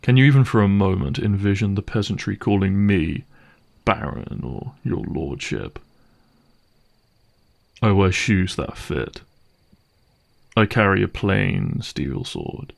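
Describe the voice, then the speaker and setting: A man playing a gruff, cynical vampire hunter for hire in a dramatic audio roleplay, speaking in a wry, sardonic and menacing way. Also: lowish voice. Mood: sardonic, dismissive. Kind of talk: dramatic audio roleplay monologue addressed to listener.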